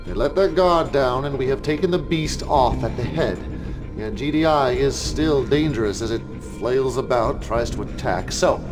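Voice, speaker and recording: Man playing a grandiose cult leader over loud music. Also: medium pitch, expressive, lightly acted. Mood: commanding, confident. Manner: measured, grandiose, theatrical oratory. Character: charismatic messianic male cult leader, scheming and supremely confident. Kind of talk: video game cutscene acting. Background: loud dramatic music.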